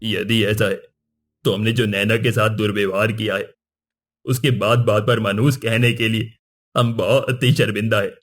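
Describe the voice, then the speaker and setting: Low, even-voiced stern king played by a man. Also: normal speed. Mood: surprised, regretful. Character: stern, dignified king and father, middle-aged. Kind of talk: Hindi cartoon moral-story dialogue.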